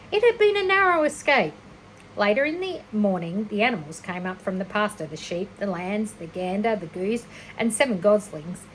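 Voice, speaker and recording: A woman reading a children's story aloud like a teacher, expressive and a little unpolished, with small stumbles and self-corrections. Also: medium pitch, slight background noise, low-quality recording. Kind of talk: children's storybook read-aloud, narration with character voices.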